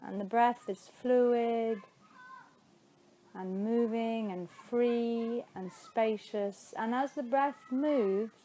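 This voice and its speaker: A woman teaching yoga nidra, guiding a meditation in a soothing, unhurried way with spacious pauses between phrases. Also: fairly high pitch, darkish tone. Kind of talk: guided meditation.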